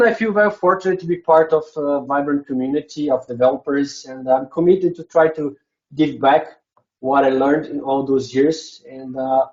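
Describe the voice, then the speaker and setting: A man's voice: on the high side, darkish tone, highs cut off. A man from Brazil with a Brazilian Portuguese accent, a non-native English speaker, giving a conference presentation with many hesitant filler sounds.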